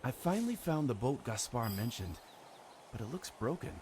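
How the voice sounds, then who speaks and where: An actor playing an earnest, adventurous hero on a quest in a scripted video game. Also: male voice, mid-range voice, big pitch swings, moderate pace, natural voice. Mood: eager, determined. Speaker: player character.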